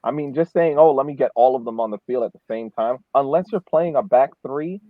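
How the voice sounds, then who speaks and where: Guy on a dry phone-quality connection talking sports casually, mid pitch, kinda halting, repeats words. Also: no echo. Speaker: podcast co-host. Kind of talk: casual sports analysis chat. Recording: dry, thin phone-like sound.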